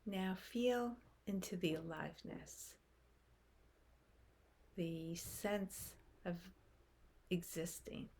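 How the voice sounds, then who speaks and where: A woman leading a guided meditation in a gentle, unhurried manner, speaking in short phrases with long pauses. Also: fairly low pitch, slightly rough.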